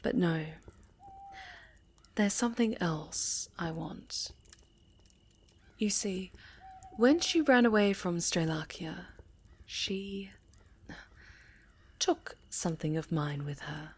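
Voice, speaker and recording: Woman playing a slow, breathy, sinister sorceress villain. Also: lowish voice. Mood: sinister, controlling. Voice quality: soft-spoken, breathy, half-whispered. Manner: slow, deliberate, menacing, savoring pauses. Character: sinister, seductive, commanding female necromancer villain. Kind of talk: scripted fantasy ASMR roleplay.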